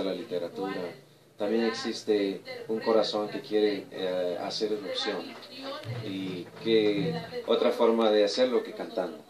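A man in his forties from El Salvador, answering interview questions casually in a modest, hesitant, reflective way. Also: lowish voice. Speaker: guest, romantic music singer and painter. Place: small indoor room. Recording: echoey room, distant mic, people audible behind.

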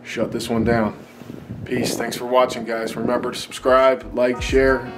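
A man's voice: mid-range voice, level tone, unhurried, music in the background. An American man in his forties chatting casually and informally to viewers as a vlogger. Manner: informal, colloquial. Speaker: outdoor vlogger. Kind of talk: casual vlog chat.

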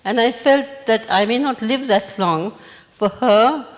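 A woman in her eighties from India, a memoir author speaking at a festival panel in a measured, reflective way, holding a handheld mic on a large stage. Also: fairly high pitch, long reverb tail. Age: 80s. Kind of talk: panel discussion.

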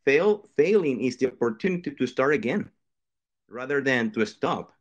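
A man's voice: mid-range voice, lively intonation, moderate pace, highs cut off. A middle-aged man from Venezuela, an engineering professor answering a panel question over a video call, earnest and often restarting his phrases.

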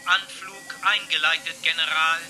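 Man voicing a high-pitched, precise ship computer. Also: little pitch movement, lightly acted. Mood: matter-of-fact. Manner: measured, factual, formal. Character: calm, precise male robot ship computer, helpful. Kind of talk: children's sci-fi radio drama acting. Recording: music behind the voice.

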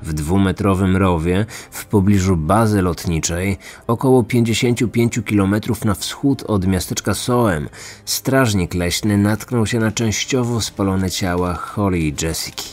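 A man's voice: deep voice, measured pace, a little mellow. A man reading a scripted true crime story as the narrator and host. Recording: music under the voice. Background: background music.

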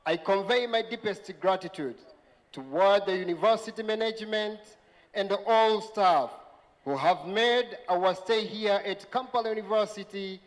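A man's voice: high voice, moderate pace. A young man from Zanzibar with a Tanzanian accent, reading a formal prepared speech as representative of a graduating class. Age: young adult. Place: large event tent. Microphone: handheld microphone. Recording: reverberant PA sound in large tent.

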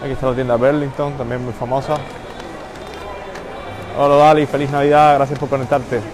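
A man narrates a live walk through a packed indoor mall, greeting viewers by name as he goes. His voice sits mid-range and is captured on a handheld phone. Steady crowd and mall noise stays clearly audible behind him. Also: medium pitch, brisk. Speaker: livestream host. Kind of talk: live walking tour commentary. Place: busy indoor shopping mall. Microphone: handheld phone camera. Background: crowd and mall ambience.

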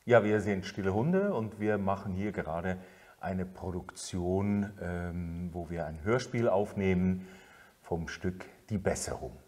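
Deep-voiced middle-aged producer. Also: male voice, a little slow, a little mellow. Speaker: theatre producer. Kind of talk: making-of interview. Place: studio with concrete walls.

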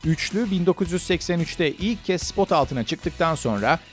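A man's voice: fairly high pitch, animated, moderate pace. Male scripted countdown narrator, faint music behind.

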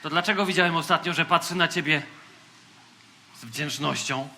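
A man's voice: a little high, normal speed, a little hiss or hum. An actor playing a bossy, macho boy captain in a radio play, pompous and scornful. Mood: suspicious. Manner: pompous, self-assured. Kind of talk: theatrical radio play acting. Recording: loud music behind the voice.

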